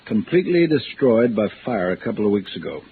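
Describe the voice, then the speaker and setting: Bluff husband, tinny old radio. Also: male voice, fairly low pitch, big pitch swings, brisk, creaky. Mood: insistent, explanatory. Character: jovial, domineering husband and insurance man. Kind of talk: 1950s radio drama acting. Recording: tinny vintage radio with faint noise.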